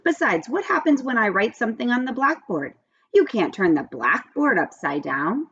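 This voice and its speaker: A woman reads as a brisk female schoolteacher in a storybook, firm and insistent but encouraging. Her pitch moves around a lot, and the sound is a little dull. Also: big pitch swings, fairly bright tone, husky, heavily acted. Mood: stern. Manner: insistent, no-nonsense.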